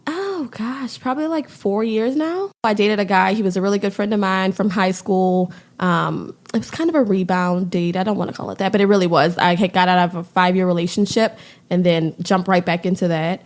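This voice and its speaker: A woman's voice: mid-range voice, a little fast. A woman in her thirties from New Orleans, chatting as a podcast guest with many ums and frequent laughs. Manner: laughs often, lots of ums and likes. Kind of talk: casual podcast chat.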